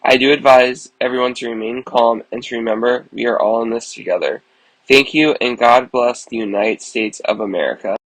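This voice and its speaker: Casting: male actor as reassuring government official, medium pitch, formal address, very dry close sound. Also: normal speed, fairly bright tone, dry recording, rough recording. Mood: calm, solemn. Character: calm male government representative addressing the nation.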